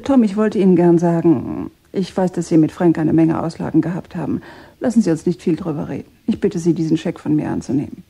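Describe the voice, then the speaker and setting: A widowed mother speaks in a very soft-edged, dark voice with a husky grain. She is composed and gracious, choosing her words with polite hesitation in a radio drama. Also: on the low side, brisk. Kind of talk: German radio drama acting.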